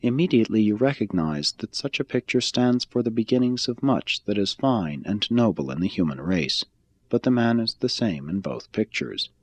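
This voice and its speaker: Man reading with widely varied, expressive pitch. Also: no room sound. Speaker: reader of a religious text. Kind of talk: scripted spiritual narration, audiobook reading.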